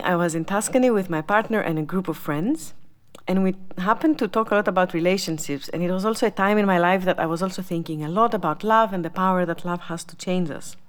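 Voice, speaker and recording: A woman giving a personal spoken reflection in a reflective, measured manner. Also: medium pitch. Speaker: exhibition contributor.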